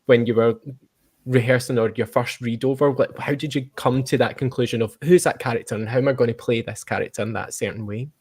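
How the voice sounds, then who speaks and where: A man's voice: mid-range voice, steady tone, fairly quick, a little mellow, slightly rough. A man hosting a casual interview, asking rambling, informal questions. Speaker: host, audio drama producer and actor.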